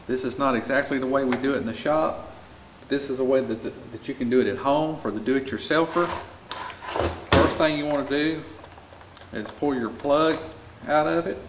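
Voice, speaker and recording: A man's voice: mid-range voice, moderate pace, pauses often. An American man giving a plain, practical, informal step-by-step repair tutorial as he works in a shop. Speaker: small engine repair instructor. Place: indoor repair shop at a workbench. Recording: echoey room with clear background noise.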